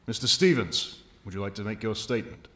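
A man's voice: on the low side, animated, brisk, bright, forceful tone, echoey room. A man playing a stern, authoritative judge in an audio drama, speaking formally and with command. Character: stern, authoritative male judge presiding over court.

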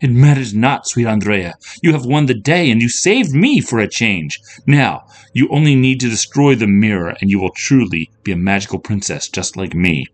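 A man's voice: on the low side, expressive, moderate pace, hardly any pauses, pitched above the performer's own voice. A man voicing a bold, regal young princess who speaks in a grandly formal, theatrical way. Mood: gracious. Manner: archaic, grandly formal speech.

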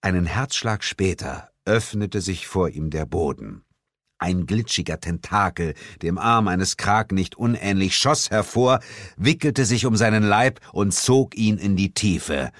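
A man narrating an audiobook in the first person with a dramatic, expressive reading. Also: low voice, a little slow, no room sound, clean studio sound. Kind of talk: scripted narration.

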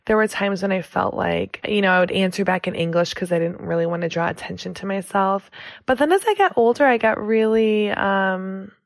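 A young woman from the United States talks through her thoughts in a casual podcast chat. She reflects as she goes, with plenty of "like" and "you know." Her voice sits at a middle pitch and sounds close and dry. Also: brisk, dry recording. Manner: reflective, thinks aloud with many "like" and "you know". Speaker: podcast guest. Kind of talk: casual conversation.

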